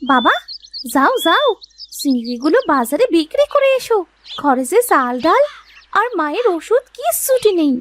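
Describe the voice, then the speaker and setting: A woman's voice: very high-pitched, big pitch swings, normal speed, fairly bright tone, slight background noise, heavily acted. A woman playing a sweet, dutiful young village girl in a fairy-tale cartoon, coaxing and asking questions. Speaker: cartoon voice actor. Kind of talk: Bengali moral fairy-tale cartoon acting.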